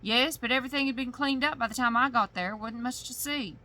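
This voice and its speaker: A woman doing a gruff, indignant male sheriff. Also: fairly high pitch, brisk, pitched above the performer's own voice. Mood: curt. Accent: put-on thick rural drawl. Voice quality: loud, indignant, rising when challenged. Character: gruff male village sheriff, defensive and overworked. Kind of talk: bedtime story reading.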